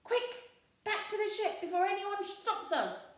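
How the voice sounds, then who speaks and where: A woman's voice: very high-pitched, expressive, measured pace, slight background noise, pitched above the performer's own voice. A woman voicing a bossy male pirate chief who barks his orders. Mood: greedy. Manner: barking, domineering. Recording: very echoey room.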